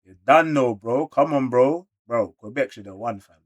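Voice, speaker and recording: A man with a London accent giving an excited, slangy read-along reaction to manga, full of exclamations. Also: medium pitch, normal speed. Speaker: YouTube reactor.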